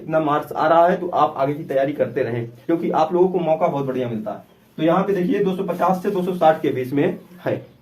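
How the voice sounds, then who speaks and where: Man, fairly high voice, exam-prep teacher, informal explainer, emphatic, repetitive advice. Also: darkish tone. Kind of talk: informal explainer addressed to students.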